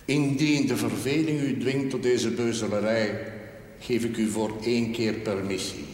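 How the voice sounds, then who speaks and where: Actor as a slow, pompous parish priest, echoing hall. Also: male voice, mid-range voice, steady tone, darkish tone, lightly acted. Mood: stern, disapproving. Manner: formal, pompous, ornate wording with French phrases. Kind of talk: television period drama acting. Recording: echoey hall, long reverb, faint noise.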